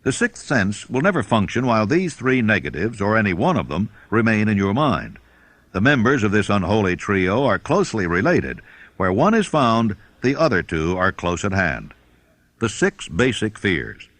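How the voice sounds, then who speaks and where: A man reading a self-help audiobook in a measured, emphatic, formal way. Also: lowish voice, very animated, fairly bright tone, dry recording. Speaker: audiobook reader. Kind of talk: scripted audiobook narration of a self-help book.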